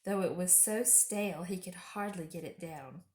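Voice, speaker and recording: A woman reading a children's story aloud as storyteller, in a measured, expressive way. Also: on the low side. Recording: roomy home echo.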